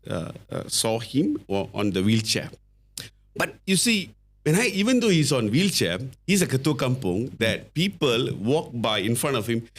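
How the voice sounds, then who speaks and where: A middle-aged man from Malaysia with a Malaysian English accent, a podcast guest telling his story in a halting way, full of fillers and restarts. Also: medium pitch. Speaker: guest, company founder.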